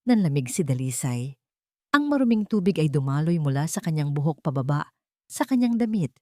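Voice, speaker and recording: A woman tells a dramatic romance story in scripted narration. Her voice sits a little low for a woman, and the sound is dry and close, with little room around it. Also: sing-song, a little fast, warm tone, no echo.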